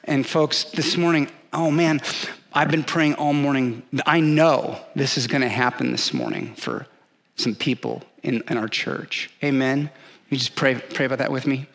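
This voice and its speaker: A man's voice: medium pitch, lively intonation, vocal fry, a bit bright. Earnest man preaching from a stage. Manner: earnest, emphatic preaching with pauses; paces the stage, gesturing. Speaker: pastor. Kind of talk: sermon. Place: large dark church stage. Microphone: headset mic.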